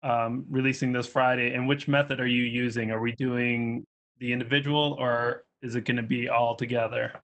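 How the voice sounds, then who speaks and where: Man moderating, fairly even intonation. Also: medium pitch, normal speed. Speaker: instructor moderating student presentations. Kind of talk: informal moderated discussion.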